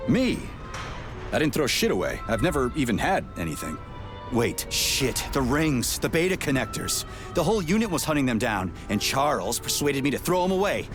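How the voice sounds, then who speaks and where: A man's voice: mid-range voice, very animated, moderate pace, close to an everyday voice. An actor playing a blunt, weary, sardonic male soldier in a video game, speaking in terse remarks. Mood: firm, blunt. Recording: loud game music under voice.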